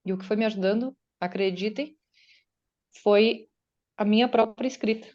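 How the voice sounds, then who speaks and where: Woman, guest speaker at an online faith meeting: chatty, voices her listeners' doubts, mellow tone, dull call sound. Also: mid-range voice. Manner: conversational, acts out listeners' doubts in quotes. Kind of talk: faith-based motivational talk. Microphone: video call.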